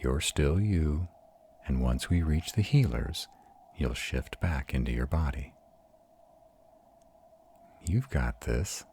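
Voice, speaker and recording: A man's voice: rumbling low, slow pace, long pauses, dark tone, a little hiss or hum, exaggerated voice. A man voicing an arrogant but tender vampire mentor in an intimate roleplay audio drama, soothing and reassuring his mate. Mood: gentle, reassuring.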